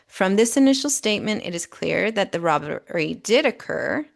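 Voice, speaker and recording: A woman's voice: mid-range voice, expressive, normal speed, gravelly. A woman co-hosting a podcast, explaining and reading out reports in a methodical, emphatic way, often checking in as she goes. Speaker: podcast co-host.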